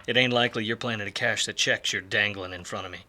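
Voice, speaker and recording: A man's voice: on the low side, fairly even intonation, quick pace, sharp tone. An actor playing a world-weary, wisecracking private detective in a film noir radio play, with a wry, slangy tough-guy delivery. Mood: sardonic. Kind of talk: film noir radio play, first-person narration and dialogue.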